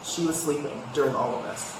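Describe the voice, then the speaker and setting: Young student, casual report, mid pitch; heavily echoing distant audio with voices around. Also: a little fast, a loud crowd. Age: young adult. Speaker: student reporting threats to police. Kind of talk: casual spoken account. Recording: very echoey, distant bodycam audio, other voices.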